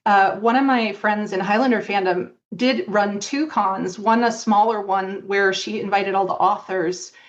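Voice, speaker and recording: A woman's voice: medium pitch, fairly even intonation, a little slow, hardly any pauses. A woman guest on a podcast, casually recounting memories in an interview chat, recorded a little lo-fi. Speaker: podcast guest, longtime fan author. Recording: slightly dull, lo-fi audio.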